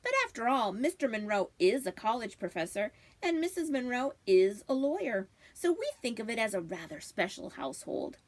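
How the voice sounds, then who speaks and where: Animated woman reading a storybook aloud. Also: a little high, normal speed, few pauses. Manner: animated, acting out dialogue. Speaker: librarian reading aloud to children. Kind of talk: expressive storybook read-aloud.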